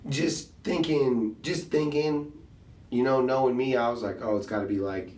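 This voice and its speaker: A man hosting a relaxed chat, talking in loose slang and thinking his ideas through as he goes. His voice sits in the middle of the range. He sounds off the mic in an echoey room. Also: medium pitch, moderate pace. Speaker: podcast host and rapper. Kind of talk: casual conversation. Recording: roomy sound, mic set at a distance.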